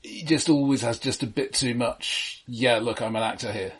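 A man co-hosting a casual film discussion, measured and analytical, often qualifying what he says. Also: medium pitch, husky. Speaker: film podcast co-host.

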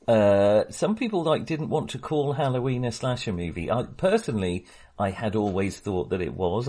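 A man's voice: fairly low pitch, a little mellow. A man co-hosting a casual film discussion, talking in a hesitant, wry and opinionated way. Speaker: podcast co-host.

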